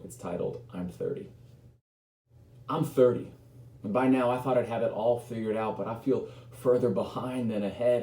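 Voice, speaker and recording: Low, mellow man in a roomy, humming space. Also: vocal fry. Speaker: spoken word poet. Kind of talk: spoken word poetry recital, conversational. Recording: roomy echo, noticeable background hum.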